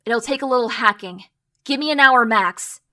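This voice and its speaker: A woman playing a confident, teasing young hacker sidekick who explains things briskly in an audio drama. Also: fairly high pitch, pushed and bright. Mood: confident, explaining. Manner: brisk, explanatory, self-assured. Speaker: voice actor.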